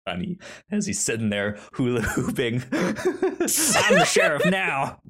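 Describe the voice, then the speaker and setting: A man co-hosting a podcast, chatting casually about film in a halting way, often stammering and repeating words. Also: a little high, wildly swooping pitch, creaky, fairly bright tone, husky. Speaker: podcast co-host. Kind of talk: casual film review chat.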